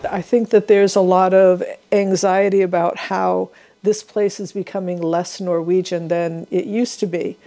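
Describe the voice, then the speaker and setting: A woman from Seattle answering an interview, thinking aloud with pauses and restarts. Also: lowish voice, level tone, dark tone. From Seattle, USA. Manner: thoughtful, pausing and restarting. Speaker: gallery owner and advocate, interviewee.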